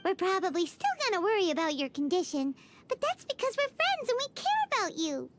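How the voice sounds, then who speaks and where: Tiny excitable game mascot girl, piping high voice, hugely animated, music behind. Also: brisk. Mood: caring, sincere. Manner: speaks of herself in third person, exclamatory. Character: tiny excitable fairy-like girl companion, chirpy and curious. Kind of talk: anime-style video game voice acting.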